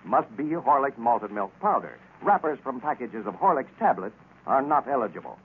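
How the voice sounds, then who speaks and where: An American man announces in vintage 1930s radio style. His mid-pitched voice swings in a lively way through a scripted pitch. The recording is thin and tinny, like old broadcast audio. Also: normal speed, a little hiss or hum.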